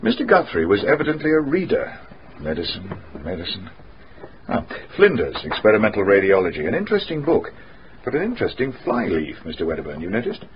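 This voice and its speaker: An actor playing a methodical, courteous detective inspector with quiet authority in a radio drama. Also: male voice, on the low side, wildly swooping pitch, a little fast. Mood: probing, assured. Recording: dull, noisy radio drama recording.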